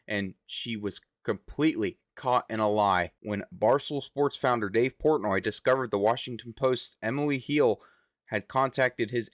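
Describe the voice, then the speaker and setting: Guy hosting news reaction commentary, voice a bit on the low side. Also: fairly bright tone, no room sound, studio quality. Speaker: commentary host.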